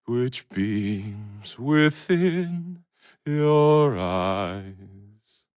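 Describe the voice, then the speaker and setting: Casting: wistful verse reciter for a game. Extremely slow, solemn delivery, long pauses, dry sound. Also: male voice, medium pitch, lively intonation, darkish tone, clean tone, dry recording.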